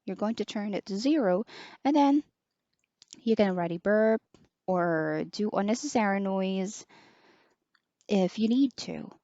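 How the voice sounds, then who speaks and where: Slow, soft-spoken woman. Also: animated, dry recording, dull audio. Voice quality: soft low voice. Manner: slow, hesitant, many pauses and fillers. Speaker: online teacher reviewing a headset. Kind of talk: casual product review.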